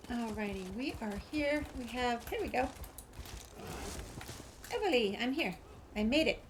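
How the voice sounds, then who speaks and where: Chatty higher-voiced woman gamer, noisy background. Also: lively intonation, unhurried. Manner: chatty, playfully talks to herself and the game. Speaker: gameplay video host. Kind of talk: casual game walkthrough commentary, thinking aloud. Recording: clear background noise, game sounds.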